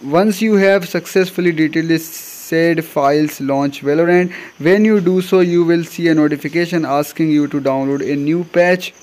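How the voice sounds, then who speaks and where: A man's voice: on the high side, moderate pace, hardly any pauses, darkish tone. A man hosting a how-to tutorial, reading scripted steps aloud. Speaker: tutorial host.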